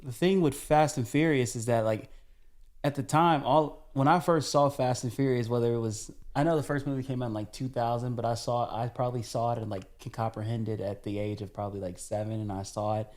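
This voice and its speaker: A man's voice: mid-range voice, fairly even intonation, fairly quick, darkish tone. Laid-back young man rambling in chat. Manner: laid-back, rambling, restarts and swears casually. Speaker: podcast co-host. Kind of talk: casual chat.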